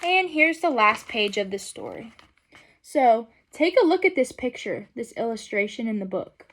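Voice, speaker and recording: A woman's voice: fairly high pitch, sing-song, moderate pace, fairly bright tone. A young adult woman reading a picture book aloud expressively and putting questions to children in an instructional way. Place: small furnished room at home, indoors.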